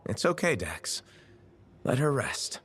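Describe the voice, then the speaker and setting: An actor playing a friendly, supportive hero freelancer. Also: male voice, mid-range voice, big pitch swings, takes it slowly, a bit bright, a little hiss or hum.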